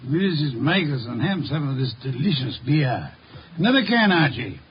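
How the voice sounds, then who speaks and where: An actor playing a shrewd, imperious, eccentric detective in a 1950s radio drama, speaking in a deliberate, formal, probing way. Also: male voice, a little high, big pitch swings. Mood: courteous, probing. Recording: dull, hissy 1950 radio recording.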